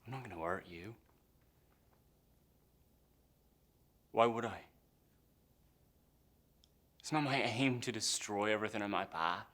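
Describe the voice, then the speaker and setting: Guy acting as a bitter pirate mutineer, theatrical, brooding, goes from hushed threats to big fiery speeches. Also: mid-range voice, close to an everyday voice. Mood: controlled menace. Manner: brooding, swinging from hushed menace to impassioned outbursts. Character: embittered, defiant English pirate mutineer, a former pressed sailor. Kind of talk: theatrical dramatic monologue.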